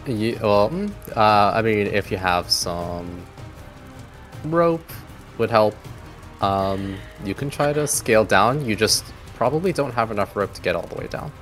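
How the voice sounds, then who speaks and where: A man's voice: fairly low pitch, animated, measured pace, a bit bright. A man speaking as game master, narrating a tabletop game in an easygoing, hesitant way. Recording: music bed behind voice. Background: background music.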